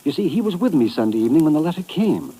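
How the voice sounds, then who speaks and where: A man's voice: a little high, very animated, rapid-fire, mellow, husky, rough recording. An actor playing a hesitant, earnest client who hides his real name, in a vintage radio drama.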